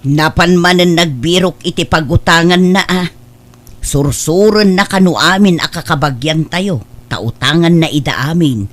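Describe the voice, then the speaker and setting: A woman's voice: lowish voice, normal speed, lightly acted. A woman playing a nagging, practical older mother of a groom in a radio-style comedy drama.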